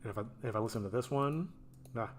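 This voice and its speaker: A man thinking aloud in casual, self-questioning, sometimes profane commentary while he edits his animated show. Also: medium pitch, fast, faint music behind.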